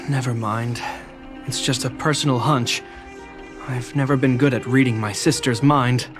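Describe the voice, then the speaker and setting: A composed, earnest man in a video game played by an actor, a caring younger brother. His speech is formal and thoughtful at an average pitch, with soft music beneath. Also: steady tone, measured pace, lightly acted. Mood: concerned, gentle. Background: faint music.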